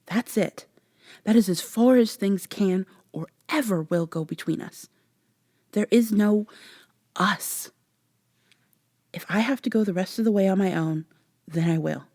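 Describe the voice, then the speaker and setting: A woman playing a guarded, sardonic human woman in a vampire ASMR roleplay, speaking close and breathy, with sarcastic, wary delivery that slips into whispers. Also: medium pitch, dark tone, no echo.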